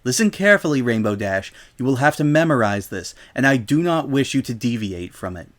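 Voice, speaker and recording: A man voicing a regal female pony princess, stern and commanding, with formal, deliberate speech in a dramatic story reading. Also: mid-range voice, very animated, natural voice. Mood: firm, instructing.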